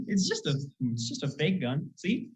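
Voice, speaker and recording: A teenage performer in a school comedy play, acting a manic, pushy aspiring actor who poses as a crazed gunman, with theatrical, excitable delivery. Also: mid-range voice, a little slow. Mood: sheepish. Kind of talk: school one-act comedy stage acting. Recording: muffled, dull school play recording.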